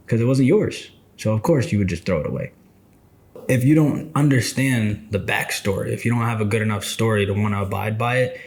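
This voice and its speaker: Man, mid-twenties, somewhat low voice; unhurried, thoughtful mentor talking straight to camera. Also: darkish tone. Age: 20s. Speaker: trading mentor. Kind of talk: casual reflective monologue.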